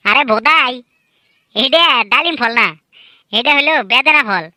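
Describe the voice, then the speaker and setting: A woman doing comic cartoon voices with a rural Bangladeshi Bangla dialect, lively, boastful and teasing. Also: high-pitched, unhurried, no room sound, studio quality. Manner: lively, boastful, teasing village-kid banter. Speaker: cartoon voice artist and narrator. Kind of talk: comedic cartoon voice acting.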